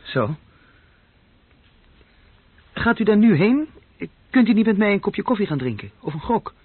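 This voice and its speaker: A man's voice: high voice, very animated, measured pace, husky, dry recording, full character voice. An actor playing an earnest, slightly awkward young office worker courting a girl in a radio drama. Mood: eager, curious. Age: young adult. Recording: narrow-band old radio play recording, very dry.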